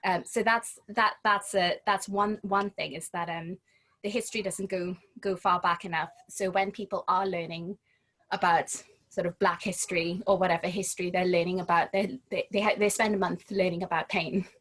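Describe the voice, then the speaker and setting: A woman from Trinidad and Tobago, a guest speaking in a relaxed interview and often restarting her phrases as she thinks. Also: lowish voice, moderate pace. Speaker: guest, home educator. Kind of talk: casual conversational interview.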